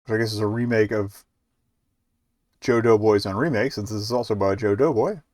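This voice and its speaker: A man's voice: fairly low pitch, brisk, darkish tone, no echo, clean studio sound. A man giving casual live game commentary as a streamer, rambling and hesitant, with muttered asides.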